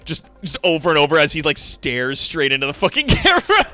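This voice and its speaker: A man's voice: on the high side, wildly swooping pitch, brisk, bright, forceful tone, faint music behind. A man streaming casually to his viewers, rambling and swearing freely as he talks as an online entertainer. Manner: profane, rambling, effusively thankful to viewers. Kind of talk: casual livestream chat with viewers.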